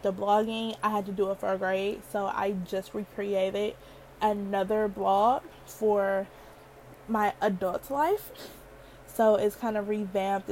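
A woman's voice: medium pitch, a little mellow, slight background noise, rough recording. A young woman in her twenties hosting a casual solo podcast, chatty and playful, laughing often, with a girlish voice. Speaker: podcast host.

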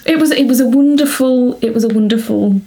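A woman's voice: a little high, brisk, mellow, slightly rough. A young woman co-hosting a casual book podcast, recapping the plot and teasing her co-host dryly.

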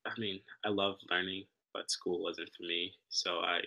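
A young man from Sudan, raised in Kenya, speaking as a podcast guest in a relaxed, halting way with many fillers. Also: sits low, creaky, dull audio. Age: young adult. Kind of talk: casual interview conversation.

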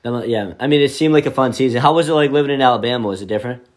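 A man rattles off his interview questions at a fast clip. His pitch sits in an ordinary middle range, and he sounds eager to keep the chat moving.

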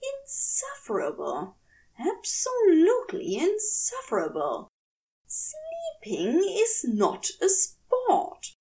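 A woman's voice: very high voice, huge pitch swings, slow, dark tone, distant mic, pitched above the performer's own voice, heavily acted, low-quality recording. A woman voicing a fussy, anxious male angel in a story reading, prim and easily exasperated. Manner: fretful, prim, indignant. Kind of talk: fan fiction audiobook character voice.

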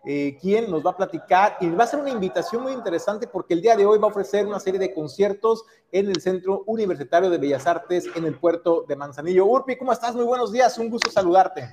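High-voiced male host in a reverberant studio. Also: lively intonation, quick pace, hardly any pauses, a little mellow, slight background noise. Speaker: news show host. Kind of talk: radio news interview.

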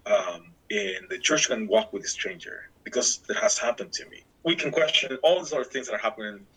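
A middle-aged man from Guatemala, speaking haltingly in an interview, with restarts and fillers. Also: medium pitch, expressive, gravelly, pushed and bright, slightly rough, rough recording. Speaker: interviewee, church worker. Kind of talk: reflective interview talk.

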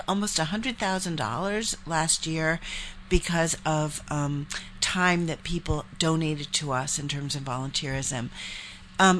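A woman's voice: deep voice, fairly bright tone, a little hiss or hum. A woman from a nonprofit appearing as a guest in a radio interview, upbeat and informative.

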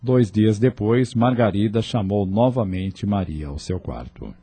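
A man's voice: fairly low pitch, measured pace, breathy edge. A man narrating and announcing a radio soap opera in scripted style. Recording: loud music under the voice.

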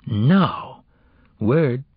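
A man voicing a blunt, street-smart teenage girl in an audiobook, slangy and challenging, quick with questions. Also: medium pitch, lively intonation, breathy edge, no room sound, dull audio, voice pushed up, exaggerated voice. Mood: probing.

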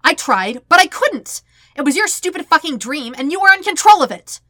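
A woman's voice: sing-song, moderate pace, no echo, studio quality, heavily acted. A woman voicing a blunt, defensive, indignant teenage boy in an audiobook story, snapping in a harsh, volatile way. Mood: indignant, shouting. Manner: snapping, loud, harsh, high-strung.

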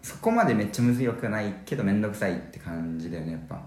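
A man's voice: on the low side, lively intonation, very fast, darkish tone, voice pushed down, lightly acted. A man playing a casual, slightly hesitant male university student making small talk in a radio skit. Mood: awkward, friendly. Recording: roomy echo.

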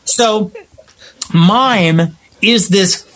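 A middle-aged man with an American accent and a notably high voice. He talks conversationally and explains things like a theatrical storyteller, slipping into little acted-out lines as he goes. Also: drawn-out words, a bit bright. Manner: theatrical storytelling, acts out imagined quotes. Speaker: guest, theater playwright, actor and director.

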